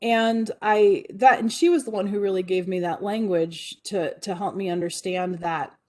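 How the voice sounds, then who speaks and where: A woman's voice: mid-range voice, steady tone, moderate pace, mellow. A woman in her forties giving a talk, telling a personal story in an earnest, reflective way with frequent fillers and restarts. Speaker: guest speaker.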